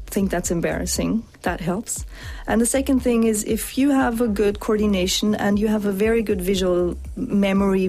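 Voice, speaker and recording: Woman linguist explaining calmly. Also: mid-range voice, poor audio. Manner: explanatory, steady, thoughtful. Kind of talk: interview answers.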